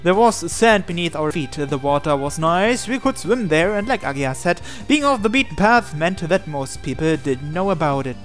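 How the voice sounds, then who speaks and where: A man's voice: on the high side, lively intonation, moderate pace, hardly any pauses. A man reading a visual novel aloud in a narrated let's play, adding asides and occasionally stumbling over the text. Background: game music.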